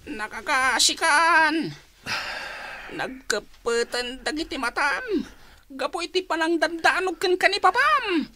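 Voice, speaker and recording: Very high, piercing comic sidekick. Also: female voice, animated, slow, sharp tone, full character voice. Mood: worried. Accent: Filipino. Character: comic, fretful servant sidekick to the boss. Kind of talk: comic radio drama acting.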